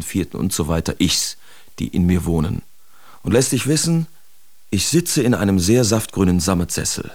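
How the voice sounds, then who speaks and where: An actor playing a sardonic, self-absorbed bohemian letter writer in a literary radio drama, with theatrical, deliberate diction. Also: male voice, lowish voice, a little mellow, dry recording. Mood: grandiose, musing. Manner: theatrical, deliberate, ornate diction with pointed emphasis.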